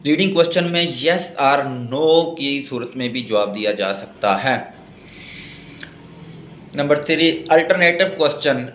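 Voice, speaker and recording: Slow, echoey Pakistani man giving a lecture. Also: a little high, fairly bright tone. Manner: didactic, spells out and repeats points. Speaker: law lecturer. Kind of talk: lecture, reading out notes in English and explaining them in Urdu. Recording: echoey room with faint background noise.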